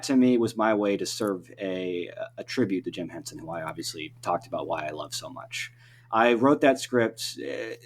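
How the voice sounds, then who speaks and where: A man speaking as a podcast guest and writer, explaining things in a talkative, casual conversational way. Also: on the low side.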